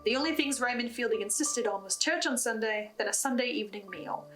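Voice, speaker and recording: Female recap host, higher voice, scripted storytelling with personal asides, faint music underneath. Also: animated, a little fast, a bit bright. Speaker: host of a recap and theory video on a horror podcast.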